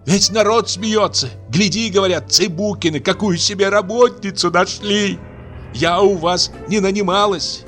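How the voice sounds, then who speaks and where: A shrill, enraged young woman hurls accusations, her voice pitched far up and sobbing with rage, as a male reader puts her on. Faint music hums underneath. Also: a little slow, fairly bright tone. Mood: bitter. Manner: shrieking accusations.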